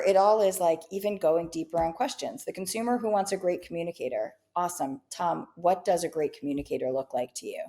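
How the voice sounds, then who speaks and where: A woman's voice: sits low, mellow. A woman co-hosting a business podcast, talking in an upbeat casual way and often checking in with "right?". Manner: upbeat, tags many points with "right?". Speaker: podcast co-host.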